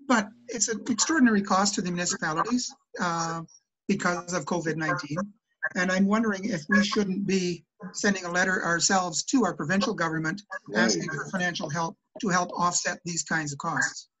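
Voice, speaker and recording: A woman's voice: on the low side, moderate pace, a bit bright, low-quality recording. A woman town councillor speaking informally in a meeting held over a video call. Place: remote video call from a room.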